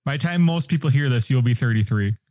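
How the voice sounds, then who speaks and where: A man in his early thirties co-hosting a casual podcast, relaxed and chuckling along with his friends. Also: medium pitch, quick pace, no room sound, studio quality. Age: 30s. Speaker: podcast co-host.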